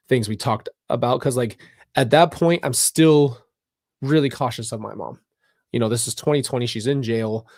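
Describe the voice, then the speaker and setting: A man giving a personal, somber commentary on a livestream, speaking haltingly and often repeating phrases. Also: medium pitch, no room sound, studio quality. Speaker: documentary reaction host.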